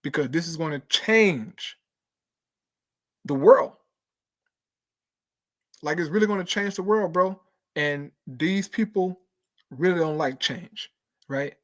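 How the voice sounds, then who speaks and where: A man's voice: a little high, slow pace, long pauses. Emphatic man preaching to his listeners. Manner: calls listeners bro and brothers and sisters; emphatic, keeps checking in with right and okay. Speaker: host. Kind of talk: casual preaching commentary.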